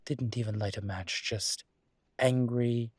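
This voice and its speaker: A refined and wary ancient demon professor, acted by a man, speaks slowly and close in a very dry recording. The voice is husky, with formal, wry phrasing and halting pauses, in the style of an intimate audio roleplay. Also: mid-range voice, steady tone, dry recording. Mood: wry, instructive.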